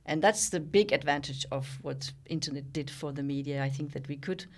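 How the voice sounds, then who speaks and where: Older Danish woman, lowish voice. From Denmark. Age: 60s. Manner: thoughtful, with frequent pauses and restarts. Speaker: guest, journalist and founder. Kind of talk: reflective interview conversation.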